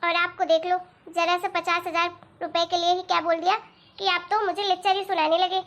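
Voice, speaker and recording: A woman playing a very high-pitched nagging young wife. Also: flat delivery, brisk, fairly bright tone. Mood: annoyed complaining. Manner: pleading, nagging, chatty. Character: demanding, spendthrift young wife, whiny and boastful. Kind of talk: Hindi cartoon moral story dialogue.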